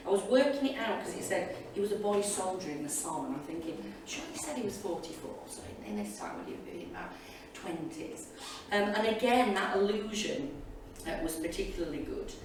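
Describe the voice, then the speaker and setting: A woman's voice: mid-range voice, animated, brisk, hardly any pauses. A woman teacher giving informal, enthusiastic and rambling reflections to an audience as a panelist. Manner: chatty, enthusiastic, digressive. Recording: echoey hall, distant mic, loud room noise.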